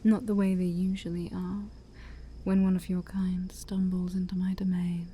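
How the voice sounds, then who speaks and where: Woman playing a dark, breathy, ancient demon princess. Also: on the low side, little pitch movement, slight background noise, natural voice. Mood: teasing, curious. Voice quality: breathy, often near-whispered. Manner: slow, teasing, haughty, theatrical. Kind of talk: soft-spoken ASMR roleplay.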